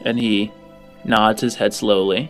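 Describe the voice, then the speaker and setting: A man's voice: on the low side, fairly even intonation, a little slow. A man narrating a tabletop role-playing game as game master. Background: faint music.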